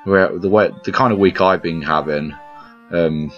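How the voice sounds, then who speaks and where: A man casually commentating on a puzzle game as he plays, rambling and poking fun at himself, his voice croaky today. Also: deep voice, moderate pace. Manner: rambling, self-deprecating, chuckles often. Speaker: gameplay commentator. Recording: slightly dull lo-fi recording with game music behind.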